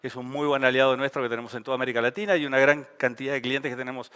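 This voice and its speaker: A man's voice: mid-range voice, steady tone, very fast, sharp tone, clear, resonant tone. A man with an Argentine accent giving a casual, joking conference talk on stage. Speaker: conference speaker. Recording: reverberant event hall.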